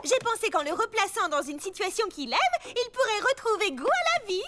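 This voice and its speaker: A woman playing an earnest, coaxing prison counsellor in an animated cartoon. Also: very high voice, fairly quick, over music. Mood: concerned.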